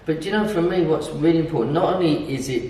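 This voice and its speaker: A man's voice: a little high, quick pace, a little mellow. A middle-aged man chatting as an interviewer, emphatic and agreeable. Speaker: interviewer and property investor. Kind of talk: conversational interview. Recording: echoey room, distant mic, faint noise.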